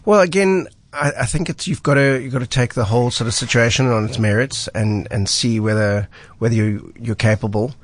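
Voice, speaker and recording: A man, a mountain guide talking on a radio show in studio, his voice husky from a cold, relaxed with frequent ums. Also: medium pitch, brisk, hardly any pauses. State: husky voice from illness. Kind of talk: casual radio chat.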